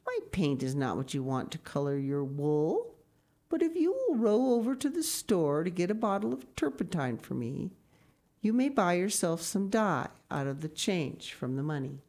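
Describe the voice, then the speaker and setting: A woman's voice: lowish voice, sing-song, normal speed, darkish tone. A woman voicing an amused male painter from a storybook who chides a boy. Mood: chiding.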